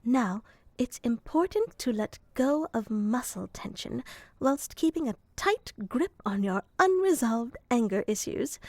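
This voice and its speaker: A woman playing an earnest young moth girl who helps people sleep, speaking softly and deadpan, sometimes whispering, close to the mic in an ASMR roleplay. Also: a little high, no room sound. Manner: soft, slow and deadpan, slips into whispers.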